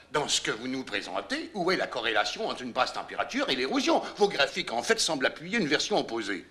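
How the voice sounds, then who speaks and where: A man's voice: mid-range voice, pushed and bright. A man acting an impatient, combative space programme manager in a dubbed docudrama, arguing with sarcasm and pressing his point. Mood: challenging. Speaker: meeting official challenging engineers.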